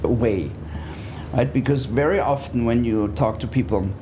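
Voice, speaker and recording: A man's voice: medium pitch, normal speed, noisy background. A man, talking conversationally as a guest in an interview. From Austria. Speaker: interview guest, business therapist.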